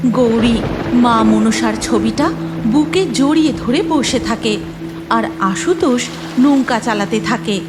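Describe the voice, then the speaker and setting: A woman's voice: on the high side, takes it slowly, loud music behind the voice. A woman narrating a scripted cartoon story as its storyteller.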